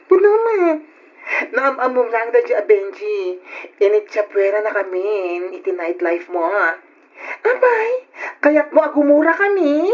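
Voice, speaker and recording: An actress playing an excitable, catty young gossip in a radio drama, speaking with a Filipino accent. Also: female voice, on the high side, unhurried. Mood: mock-sulky. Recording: dull, lo-fi old radio drama recording.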